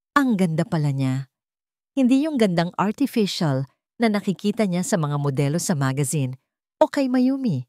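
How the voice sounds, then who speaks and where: A woman's voice: on the low side, dark tone, dry recording, clean studio sound. A woman with a Filipino accent telling a romantic drama as a scripted storyteller.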